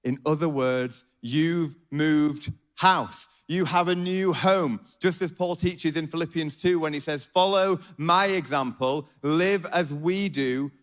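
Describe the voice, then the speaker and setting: A man with a Yorkshire accent preaching a sermon at a church gathering, earnest and often dropping to a hushed, intimate tone. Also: a little high, slow pace, hardly any pauses.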